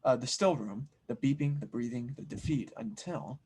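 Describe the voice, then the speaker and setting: A man's voice: medium pitch, animated, normal speed, dark tone, slightly rough. A man reading scene directions as narrator at an audio drama table read, in a dramatic scripted style.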